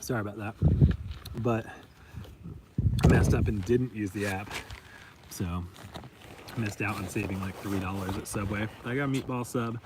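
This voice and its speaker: A man's voice: on the low side, moderate pace, pauses often. A man vlogging from a long-distance hiking trip, chatting casually with lots of ums and likes. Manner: rambling, many ums and likes. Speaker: vlogger, trail hiker. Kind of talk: casual vlog chat. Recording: distant mic, loud outdoor noise.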